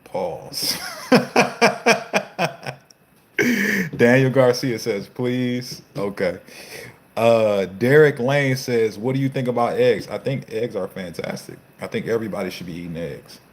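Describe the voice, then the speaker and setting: A man's voice: medium pitch, animated, slow pace. A man in his thirties, a fitness coach, hosting a casual live Q&A, reading viewer comments and answering in a loose, slangy, profane way. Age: 30s.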